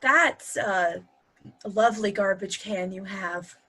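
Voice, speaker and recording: Woman acting a blunt, bright-voiced defender. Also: medium pitch, takes it slowly, breathy edge, heavily acted. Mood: politely probing. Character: blunt, dry-witted woman fiercely defending her partner. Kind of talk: virtual table read of a stage comedy-drama.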